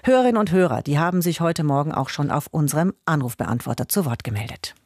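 Measured female radio host, dry studio sound. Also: sits low, animated, a little mellow, no room sound. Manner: clear, measured, reads numbers slowly. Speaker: radio talk show host. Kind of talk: scripted radio moderation. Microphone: studio microphone.

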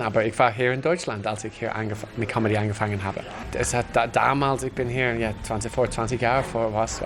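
Hesitant man, faint background noise. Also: medium pitch. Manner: hesitant, restarts often, searching for words. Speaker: interviewed comedian. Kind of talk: casual interview answers.

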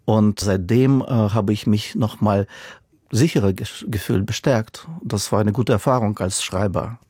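A man's voice: on the low side, a little slow, a little mellow, slightly rough, dry recording, clean studio sound. A man whose first language is not German, speaking as an author in a radio interview, thoughtful and given to repeating words for emphasis.

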